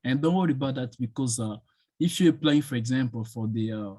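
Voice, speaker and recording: A man whose first language is French, answering questions as a guest speaker in an online session, pausing often with fillers. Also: medium pitch, dark tone, no echo. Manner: hesitant, many fillers, thinking aloud. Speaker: guest speaker on studying abroad.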